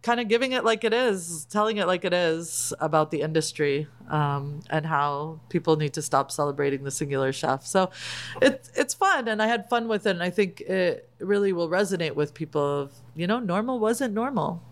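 A woman chef, Palestinian, talking casually on a podcast, animated and laughing often. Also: lowish voice, normal speed. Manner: animated, laughs often, acts out quoted lines. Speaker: guest, chef and cookbook author.

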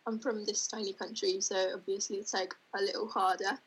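A young woman from Budapest, 18, a Hungarian speaker with a strong English accent. Her voice is slightly husky, and she talks in a relaxed way with small giggles. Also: medium pitch, a little fast, low-quality recording. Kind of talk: casual self-introduction.

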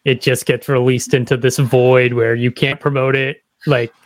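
A man's voice: medium pitch, level tone, moderate pace, no echo. Give me a male podcast host asking interview questions, recorded bone dry. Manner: attentive, brief affirmations.

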